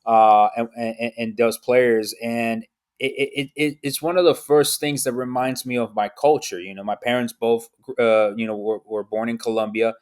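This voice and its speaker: A man from Miami, speaking as a podcast guest in a mid-range voice. He talks earnestly and reflectively but haltingly, stumbling over restarts and repeating words as he gathers his thoughts. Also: mid-range voice, fairly even intonation, a little mellow, smooth. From United States, raised in Miami. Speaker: podcast guest, football fan. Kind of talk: casual interview.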